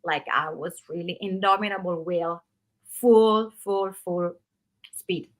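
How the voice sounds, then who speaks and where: A middle-aged woman from Italy with an Italian accent, speaking in a relaxed, animated way with many fillers as a guest in an interview. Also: fairly low pitch, slow pace. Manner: animated, loose run-on phrasing with frequent fillers. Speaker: guest, actress. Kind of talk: casual interview conversation.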